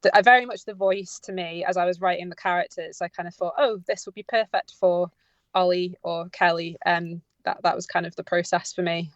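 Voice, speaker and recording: A woman's voice: fairly low pitch, steady tone, a little fast, no echo. Hesitant woman, dull dry recording. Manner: hesitant, informal, self-correcting. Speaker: audio drama writer and producer, interview guest. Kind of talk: unscripted radio interview answers.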